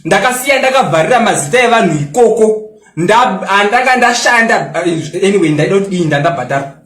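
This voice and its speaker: A young Zimbabwean man with a very high voice, taunting and boasting as he fires back at a critic. He switches into English often. The sound rings around an echoey room. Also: lively intonation, fast, a bit bright. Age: 20s. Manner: mixes English into local-language talk. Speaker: social media personality. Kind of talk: angry response rant.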